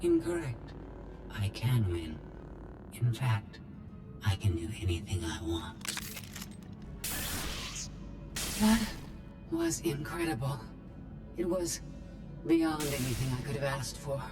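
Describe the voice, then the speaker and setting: An actress playing a rogue female droid mastermind in a video game, deliberate, clinical and gloating, with a synthetic robotic tone. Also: very deep voice, wildly swooping pitch, full character voice. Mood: exultant. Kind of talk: video game villain acting. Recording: game music under the voice.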